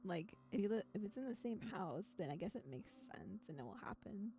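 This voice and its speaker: Quiet, giggly woman with a mellow voice. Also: mid-range voice, animated, fairly quick, faint music behind. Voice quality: quiet but clear voice. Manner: giggly, laughs often. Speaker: streamer. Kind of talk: casual chat and reading a visual novel aloud.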